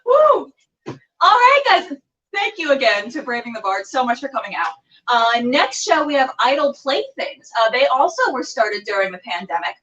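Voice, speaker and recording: Female show host, high-pitched and gushing, casual livestream chat and shout-outs, recorded well back from the mic. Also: sing-song, moderate pace, far from the mic, dull audio. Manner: gushing, effusive.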